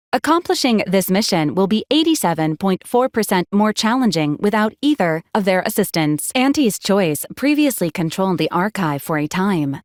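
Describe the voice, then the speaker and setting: A woman voicing a formal, dutiful female robot assistant in a video game, speaking in a measured, precise, deadpan way. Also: mid-range voice, no room sound, studio quality, natural voice. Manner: clipped, formal, deadpan, measured machine-like delivery. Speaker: mission briefing assistant to a commander. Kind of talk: scripted video game dialogue.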